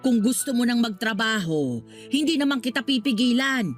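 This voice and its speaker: A woman plays a protective middle-aged mother in a radio drama. She speaks a little high, patient and reassuring yet firm, over faint music. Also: normal speed, close to an everyday voice. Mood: firm, scolding. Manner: soothing, gently scolding. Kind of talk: Tagalog radio drama acting.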